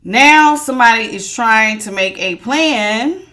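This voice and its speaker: A woman's voice: on the high side, lively intonation, unhurried, sharp tone. A woman giving a casual tarot reading to her viewers, with a strong, projecting voice and an emphatic, authoritative way of talking that repeats phrases for stress. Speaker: tarot reader.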